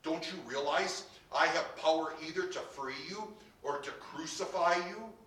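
Male reader as Roman governor in scripture drama, troubled but commanding, deliberate, echoey and off-mic. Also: mid-range voice, expressive, pushed and bright. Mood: demanding. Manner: declamatory, emphatic, deliberate. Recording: echoey room, distant microphone.

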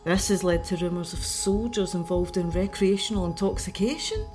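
A woman's voice: lowish voice, moderate pace, a little mellow, soft background music, close to an everyday voice. A woman with a Scottish accent acting in a radio drama as an anxious investigator. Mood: focused reading. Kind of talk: radio drama, reading research aloud.

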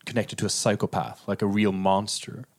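A man's voice: lowish voice, flat delivery, normal speed. A man co-hosting a film podcast, talking casually and analytically, often hedging his points.

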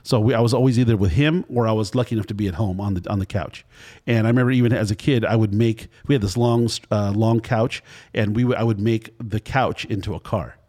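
A man's voice: fairly low pitch, fast, warm tone. A man in his fifties hosts a laid-back food podcast, chatting with his guest. He tells long, meandering stories, circling back and restarting as he goes. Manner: rambling storyteller, wandering anecdotes. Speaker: podcast host. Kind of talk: casual interview chat.

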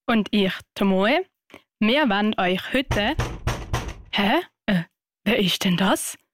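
A woman's voice: mid-range voice, expressive, slow pace, background noise. A woman presenting a scripted radio show as host.

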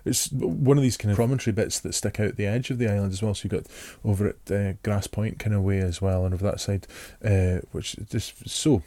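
Soft-spoken low-voiced male podcast host. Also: brisk, few pauses, no echo. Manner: gentle, descriptive intro, then relaxed questions. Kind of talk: podcast interview. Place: rural home.

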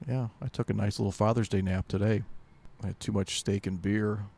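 Low, gravelly laid-back man. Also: steady tone, a little fast, darkish tone. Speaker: podcast co-host. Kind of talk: casual chat.

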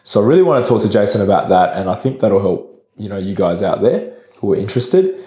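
A man hosting a podcast in casual chat, with a croaky voice, relaxed and quick to laugh. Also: lowish voice, reverberant. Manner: relaxed, chatty, laughs often. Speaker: podcast host.

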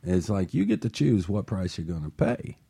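Deep mellow guy hosting a motivational talk show, gets fired up and earnest. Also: big pitch swings, normal speed, gravelly, husky. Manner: earnest, rising to passionate emphasis. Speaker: talk show host and life coach.

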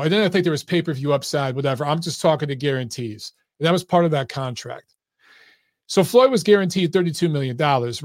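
A hoarse, middle-aged man chats loosely through his livestream, full of slang, fillers and restarts. His voice sits a little higher than usual for a man, and the sound is close and dry with no room around it. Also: dry recording. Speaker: boxing commentary channel host. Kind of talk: casual livestream talk.

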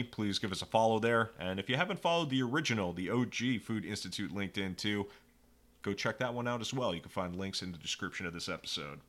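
A man's voice: fairly low pitch, pushed and bright. A man hosting a podcast interview, upbeat and sprinkling in "you know" fillers. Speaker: podcast host.

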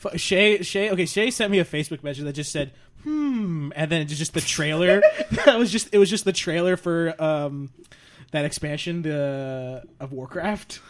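Male co-host, higher than average pitch, informal, conversational, podcast group chat. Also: normal speed. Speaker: pop culture podcast co-host.

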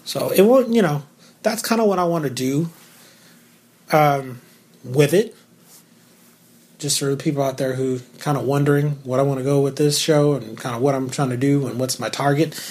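A man with a naturally raspy voice, hosting his own talk show alone, talking candidly and cracking asides. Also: medium pitch, normal speed, pauses often, a little mellow. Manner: candid and joking, with asides and filler words. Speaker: podcast host. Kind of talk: solo casual talk show.

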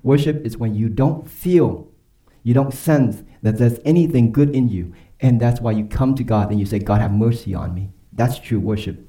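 A man preaching a sermon and leading a closing prayer, earnest and emphatic, slowing down and dropping softly on key phrases. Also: mid-range voice, poor audio. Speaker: pastor.

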